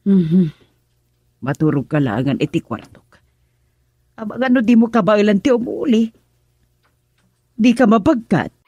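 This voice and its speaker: A woman with an Ilocano accent playing a worried middle-aged mother of grown children in a radio drama, with a husky voice. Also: mid-range voice, expressive, a little slow, pauses often, mellow. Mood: concerned, scolding.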